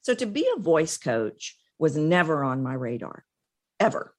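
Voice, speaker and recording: A middle-aged woman, telling her story as an interview guest in an expressive, emphatic way with dramatic pauses. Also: sits low. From Texas, United States.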